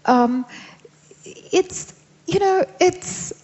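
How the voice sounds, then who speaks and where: A woman's voice: very high voice, expressive, very slow, darkish tone. A woman from Sri Lanka, a novelist, answering questions in an onstage festival conversation, reflective and hesitant with many fillers. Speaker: novelist, interviewee. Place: large festival hall on stage. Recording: hall reverb, dull lo-fi sound.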